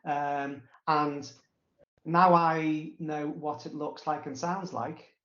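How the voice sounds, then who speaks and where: Guy with a stutter talking slowly, lots of ums and restarts, echoey dull audio. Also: a little high, flat delivery, a bit bright. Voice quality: stutter with blocks, repetitions and drawn-out pauses. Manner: halting, frequent fillers. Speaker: interview guest. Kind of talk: casual personal recollection. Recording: roomy, echoey, dull sound.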